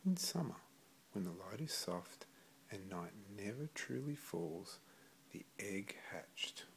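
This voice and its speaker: A man reading a children's story aloud expressively, with gentle, deliberate phrasing. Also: fairly low pitch, expressive, rough recording. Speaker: storybook reader.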